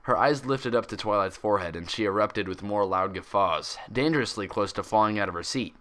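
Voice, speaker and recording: Male audiobook-style narrator, low pitch, sharp bright tone, expressive scripted delivery. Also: fairly quick. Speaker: fan fiction reader.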